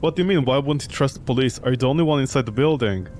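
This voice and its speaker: A man voicing a steady, inquisitive young private detective in a game. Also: mid-range voice, very fast, slight background noise, lightly acted. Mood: questioning.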